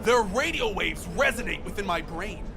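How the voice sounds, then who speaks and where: A frantic conspiracy prophet from a video game. His voice sits very high, and he preaches with grandiose urgency as if the world is ending. Music plays clearly behind him. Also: expressive, moderate pace. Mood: manic insistence. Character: frantic paranoid street prophet, a male conspiracy ranter.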